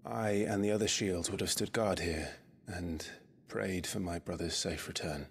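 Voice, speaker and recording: Brooding young warrior, British accent, very low voice, grave and terse, game cutscene, noise behind. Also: male voice, steady tone, moderate pace, gravelly. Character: brooding, driven young swordsman and sworn shield of his brother. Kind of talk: video game cutscene acting.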